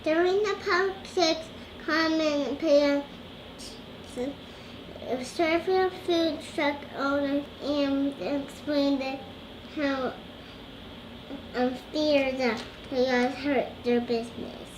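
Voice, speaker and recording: High-pitched young girl reading slowly. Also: fairly even intonation. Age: child. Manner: hesitant, stumbling over words, repeating phrases. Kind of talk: halting read-aloud from a storybook. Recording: roomy echo with clear background noise.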